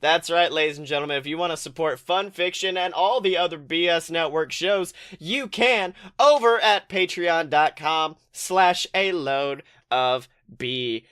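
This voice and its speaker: Bright-voiced man hosting a podcast. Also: fairly high pitch, unhurried, hardly any pauses, smooth. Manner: jokey, informal. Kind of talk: casual chat.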